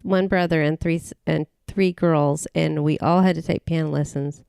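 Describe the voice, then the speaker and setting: A middle-aged woman from Tennessee talks in a low, gravelly voice that stays smooth and full. She rambles and restarts her sentences as she remembers things. The sound is close and dry. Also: steady tone, a little mellow, no echo. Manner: rambling, halting with many restarts and fillers. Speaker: podcast guest. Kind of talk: casual interview conversation.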